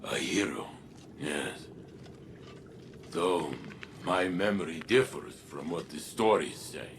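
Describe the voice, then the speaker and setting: A huge kindly robot warrior. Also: male voice, low voice, slow, a little hiss or hum. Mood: gentle. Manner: broken English, short blunt sentences. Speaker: tactical overseer. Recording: game cutscene with music.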